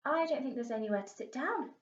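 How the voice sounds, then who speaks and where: A woman's voice: sits high, lively intonation, quick pace. A woman voicing a timid young schoolgirl in a storybook, hesitant and stammering. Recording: muffled, echoey room, distant mic.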